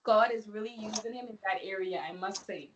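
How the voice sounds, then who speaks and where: A woman from the Bahamas, medium-pitched, shares a testimony in a chatty way full of "you know" and "like". Her voice sits in the middle of the range, with a little faint noise behind. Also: a little fast. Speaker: guest giving a testimony. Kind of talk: casual testimony.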